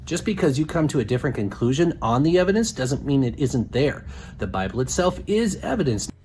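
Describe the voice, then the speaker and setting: A man's voice: medium pitch, big pitch swings, a little fast, darkish tone. A man speaking as a religious apologist in an argumentative, insistent and assertive way. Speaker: religious apologist, video maker. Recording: clear background noise.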